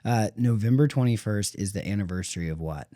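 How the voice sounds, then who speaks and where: A man hosts a podcast in a relaxed, conversational way. His voice is deep and mellow, and he keeps the talk moving with short reactions. The recording is dry and close. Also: fairly quick, no room sound. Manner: easygoing, reacts with short interjections. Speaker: podcast host. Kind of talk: casual interview chat.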